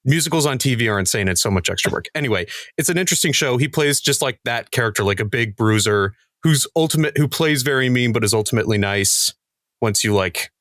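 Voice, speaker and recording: A man's voice: mid-range voice, expressive, fast talker, fairly bright tone, no room sound. Man chatting casually with dry, close sound. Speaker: podcast cast member discussing their show. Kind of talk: casual panel chat.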